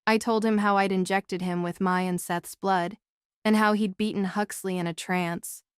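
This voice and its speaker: A woman's voice: medium pitch, steady tone, moderate pace, smooth, no room sound, studio quality. A woman narrating a novel as a scripted audiobook.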